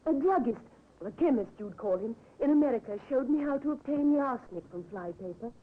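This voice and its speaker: An actress playing a composed, genteel wife in a 1950s radio drama, speaking politely and with quiet command. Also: female voice, high-pitched, expressive, fast. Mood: coolly commanding. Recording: muffled, tinny old radio recording with hiss.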